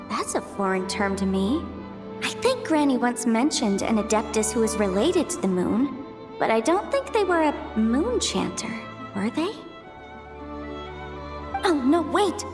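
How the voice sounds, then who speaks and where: A woman voicing a cheerful, easily flustered young horned scholar in a video game, chatty and expressive. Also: high voice. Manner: chatty, expressive, hesitant when put on the spot. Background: background music.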